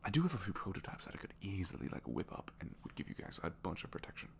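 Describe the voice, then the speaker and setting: A man's voice: low voice, huge pitch swings, fast talker, creaky, fairly bright tone, slight background noise, exaggerated voice. A man acting out a bashful, flirtatious supervillain with a good heart in an intimate ASMR roleplay, speaking hesitantly with sheepish stammers. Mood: eager, explaining.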